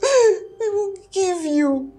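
An actress playing a grief-stricken mother who shouts and pleads through tears. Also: high-pitched, animated, unhurried, soft background music. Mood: pleading.

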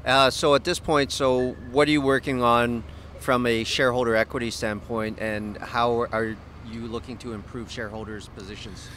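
A middle-aged man interviewing a guest on a city street, asking brief questions and adding quiet mm-hmms. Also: medium pitch, fairly even intonation, normal speed. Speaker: interviewer for an exchange's video series. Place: busy downtown street outdoors. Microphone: handheld mic. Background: faint city traffic.

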